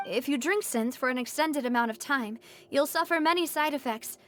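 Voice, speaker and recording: Woman acting a high-voiced, earnest young leader. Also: close to an everyday voice. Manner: earnest, articulate. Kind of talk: video game character acting.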